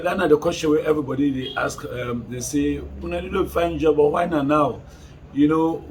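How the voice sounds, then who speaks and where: A man in his forties with a Sierra Leonean Krio accent, a council chairman calmly explaining his work in an office interview. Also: a little high, a little hiss or hum, low-quality recording. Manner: measured, explanatory, with fillers. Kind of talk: interview answer. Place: office, indoors.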